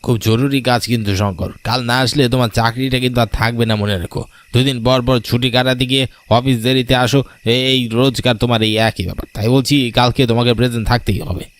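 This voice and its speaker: A man's voice: mid-range voice, steady tone, brisk, close to an everyday voice. A man voicing a stern, threatening office boss who scolds and commands in a cartoon. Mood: stern scolding. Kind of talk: Bengali cartoon voice acting.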